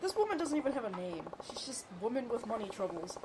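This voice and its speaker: A woman's voice: a little high, big pitch swings, quick pace, heavy background noise. A woman with a cold, sounding stuffy, making wry, teasing remarks while watching a video game. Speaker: let's play co-commentator. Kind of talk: casual game commentary.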